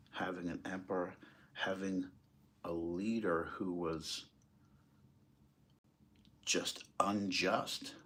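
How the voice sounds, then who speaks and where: An American man giving impassioned, off-the-cuff commentary in a halting, emphatic way. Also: low-pitched, a bit bright, a little hiss or hum. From United States, moved there as a small child. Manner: halting, emphatic, rhetorical questions. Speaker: political commentator.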